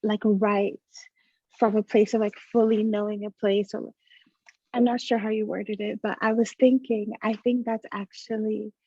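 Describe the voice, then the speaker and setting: Woman panelist, flat husky voice, dull dry call audio, tentative and full of fillers. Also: medium pitch, moderate pace, dry recording. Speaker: panelist, writer. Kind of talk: casual panel conversation. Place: room at home. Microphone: video call.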